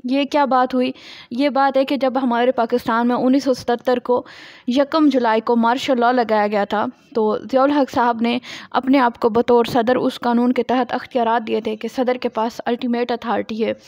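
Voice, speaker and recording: A woman's voice: fairly high pitch, steady tone, moderate pace, a little mellow, no room sound, studio quality. A woman with a Pakistani accent giving a law lecture in a didactic way, explaining clauses and posing questions to her students. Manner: didactic, poses questions and answers them. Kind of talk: scripted-outline lecture explaining a statute, reading clauses aloud.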